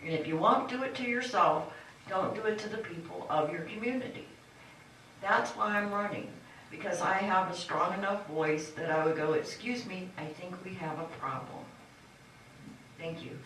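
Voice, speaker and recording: A woman's voice: on the low side, normal speed, a bit bright. A woman candidate giving a fiery, folksy campaign speech at a public forum. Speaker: candidate for county supervisor. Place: large echoey meeting hall. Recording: echoey hall from far off, faint hiss.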